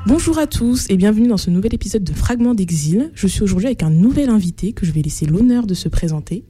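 A woman's voice: mid-range voice, lively intonation, fast, warm tone, faint music behind. A woman hosting a podcast, interviewing a guest in a conversational style.

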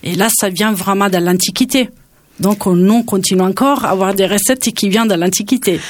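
A woman's voice: medium pitch, a little fast, no room sound, studio quality. A woman in her forties from Naples who speaks French with an Italian accent and takes an interview guest's part, talking hesitantly with many fillers while she searches for words. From Naples, Italy. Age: 40s. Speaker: cook, guest. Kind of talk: interview conversation.

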